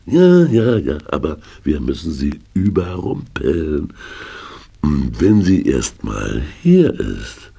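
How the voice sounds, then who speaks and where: A very low, husky voice with big swings in pitch belongs to a jovial older uncle. He is a hearty shop owner with a scheme, emphatic and kindly, in a children's radio play. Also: dark tone, exaggerated voice. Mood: conspiratorial. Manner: hearty, chuckling, emphatic with tag questions. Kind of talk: 1980 German children's radio play acting.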